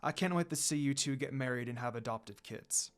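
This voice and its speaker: A man voicing a stately demon noblewoman in a story, a formal, questioning mother who softens. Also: mid-range voice, brisk, lightly acted. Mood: approving, kindly. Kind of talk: storybook character reading.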